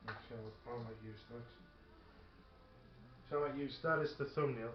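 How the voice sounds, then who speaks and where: A man chatting casually and haltingly as he plays a tabletop wargame, thinking aloud. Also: medium pitch. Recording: roomy, dull, very noisy room recording. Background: radio playing in the background.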